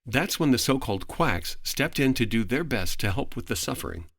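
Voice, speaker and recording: A man speaking as a podcast guest, giving a prepared, articulate explanation in formal, measured phrasing. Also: mid-range voice, very animated, a bit bright, no room sound, studio quality.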